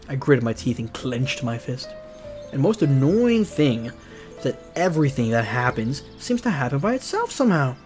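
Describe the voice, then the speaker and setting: A man's voice: a little high, darkish tone. A man streaming a visual novel, reading it aloud expressively with casual asides. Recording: music behind.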